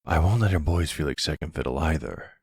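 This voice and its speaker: A man's voice: very deep voice, brisk, darkish tone, no echo, studio quality, full character voice. An actor playing a suave middle-aged mafia boss and devoted family man, speaking tenderly in an intimate roleplay. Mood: tender, reassuring. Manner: tender, soothing, smooth-talking. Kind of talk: intimate ASMR boyfriend roleplay acting.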